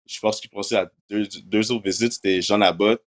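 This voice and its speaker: Low-voiced young man, casual talk. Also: fairly even intonation, a little fast. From Quebec, Canada. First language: English. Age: young adult. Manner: casual, mixes English words like "so" and "like" into his French. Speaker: university football player. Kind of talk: interview answers.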